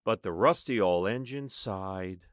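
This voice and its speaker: A man's voice: fairly low pitch, unhurried, no echo, studio quality. A man narrating a children's story expressively, with dramatic emphasis as an audiobook narrator.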